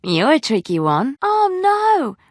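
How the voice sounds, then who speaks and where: An actress voicing a young female bard and former spy in a video game, soft-hearted but cunning, with a French accent and measured, earnest, formal phrasing. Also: high voice, wildly swooping pitch, slightly rough, dry recording, clean studio sound, full character voice. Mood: playful, teasing. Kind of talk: dramatic game dialogue.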